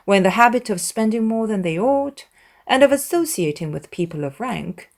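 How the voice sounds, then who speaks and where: A woman's voice: medium pitch, a little mellow. A woman reading scripted literary narration as an audiobook narrator.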